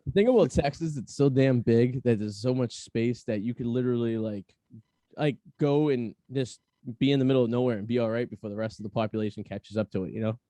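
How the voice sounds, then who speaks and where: A man's voice: medium pitch, fast, mellow, no room sound. A man hosting a podcast, chatting casually in a blunt, rambling way and often repeating his phrases. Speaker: podcast host. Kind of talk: casual chat.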